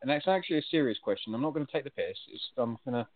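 A man's voice: medium pitch, expressive, racing, no echo. Guy ribbing people on a call, kinda mock-posh, sounds like a phone line. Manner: teasing, mock-pompous. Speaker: guest on call. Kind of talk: joking banter on a call. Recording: tinny call audio.

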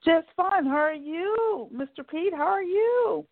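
Radio host lady, kinda gravelly voice, eager questions, sounds like over the phone. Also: very high-pitched, expressive, unhurried, no room sound. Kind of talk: scripted guest introduction and interview questions. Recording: dry, phone-like line.

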